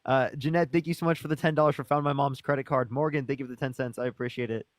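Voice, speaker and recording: A man's voice: medium pitch, fairly even intonation, rapid-fire, no room sound, studio quality. A male streamer rattling through donor thank-yous and jokingly mocking an opponent. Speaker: streamer co-host. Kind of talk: rapid donation thank-yous.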